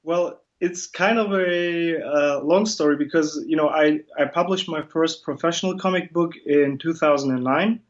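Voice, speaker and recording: A man's voice: a little high, normal speed, hardly any pauses. A man, a comic illustrator chatting as an interview guest over a video call, joking about himself. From Germany. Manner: self-deprecating, joking, with restarts. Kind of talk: casual interview chat. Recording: muffled video call audio.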